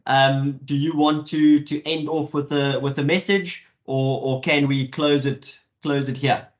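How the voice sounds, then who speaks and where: A man moderating an online webinar, speaking in a halting way with many fillers and restarts over a video call. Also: fairly high pitch, fairly even intonation. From South Africa.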